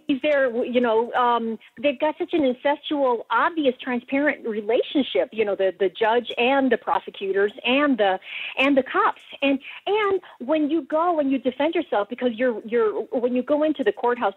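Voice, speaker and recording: A woman's voice: on the high side, husky, no echo. An American woman calling in to a radio show by phone, earnestly telling stories and acting out quoted dialogue in conversation. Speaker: radio call-in guest. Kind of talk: conversational storytelling. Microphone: telephone. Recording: tinny, band-limited phone line.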